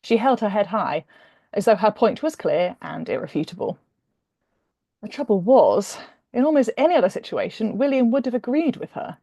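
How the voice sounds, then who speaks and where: Female author-narrator, expressive romance reading, slightly gravelly mellow tone, dry close sound. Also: medium pitch, no echo. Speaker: author reading from own novel. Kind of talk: expressive audiobook-style narration of a humorous romance.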